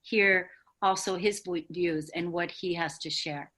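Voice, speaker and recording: Lower-voiced woman hosting a talk show. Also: steady tone, a little slow, a bit bright. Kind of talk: conversational hosting of a discussion.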